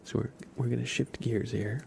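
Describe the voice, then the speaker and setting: A man hosting an ASMR livestream, chatting casually with viewers in a soft, breathy half-whisper, sometimes drawing words out and repeating them. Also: medium pitch, fairly even intonation, moderate pace, slight background noise. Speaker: livestream host.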